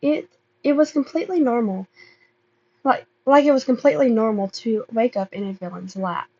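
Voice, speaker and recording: A woman reading fan fiction aloud as an amateur narrator, halting and often stumbling over and repeating words. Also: on the high side, dark tone, muffled recording. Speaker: amateur podfic reader.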